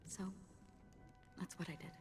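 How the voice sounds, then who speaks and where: An actress playing a calm, wistful wandering warrior woman with a soft, restrained voice in a video game cutscene. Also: measured pace, lightly acted. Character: melancholy, soft-spoken wandering warrior woman losing her memories. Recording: long reverb, faint music. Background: faint music.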